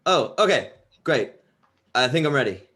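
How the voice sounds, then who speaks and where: A man's voice: mid-range voice, sing-song, moderate pace, sharp tone. A man in a comedy table read playing a hesitant, bluntly honest hopeful auditioning for a band. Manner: hesitant, unsure.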